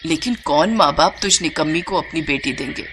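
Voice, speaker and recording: Woman acting a weary, low-voiced elderly mother-in-law. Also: moderate pace, a bit bright, a little hiss or hum. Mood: stern, hurt. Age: older. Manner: plaintive, earnest.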